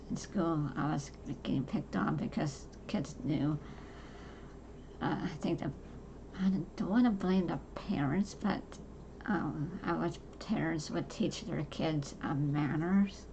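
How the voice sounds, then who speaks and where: A soft-voiced woman in her forties, talking casually and haltingly about her own life, with many fillers and restarts. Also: sits low, creaky, a little hiss or hum. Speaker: podcast host. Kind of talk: casual personal talk to camera.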